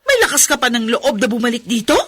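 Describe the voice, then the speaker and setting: A woman with a Filipino accent playing a haughty, resentful elder sister in a radio drama, sharp and scornful. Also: on the high side, big pitch swings, fast, no room sound. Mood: indignant, bitter.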